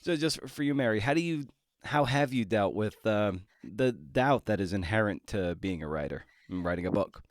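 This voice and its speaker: Low-pitched man hosting an interview. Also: animated, normal speed, no room sound, clean studio sound. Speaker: podcast host interviewing a writer. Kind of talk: conversational interview.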